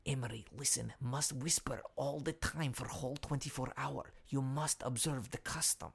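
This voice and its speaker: A husky, creaky Ukrainian foreign correspondent for a comedy news show. He is nervous and hapless, reporting in hushed, broken English with a thick Ukrainian accent and repeating himself as if afraid of being overheard. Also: a little high, normal speed. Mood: hushed, urgent. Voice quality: hushed, breathy, gravelly. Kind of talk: comic fake news field report.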